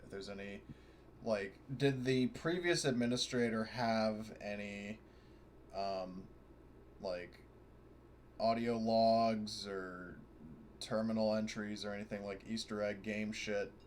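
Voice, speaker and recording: Low-voiced man gaming, faint background noise. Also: steady tone. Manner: sweary, halting. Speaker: tabletop game player. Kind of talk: casual game chat. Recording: noisy online voice call.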